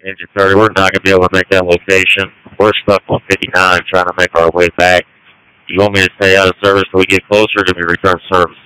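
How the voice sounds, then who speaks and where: A man's voice: sits low, flat delivery, quick pace, pushed and bright, clear, resonant tone, dry recording, poor audio. A man working as a firefighter, reporting informally over two-way radio. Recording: fire department two-way radio traffic.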